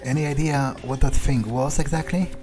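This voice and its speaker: A man's voice: mid-range voice, fairly even intonation, moderate pace, over music. A man doing in-character game playthrough commentary, thinking aloud hesitantly and swearing bluntly. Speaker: gaming video creator.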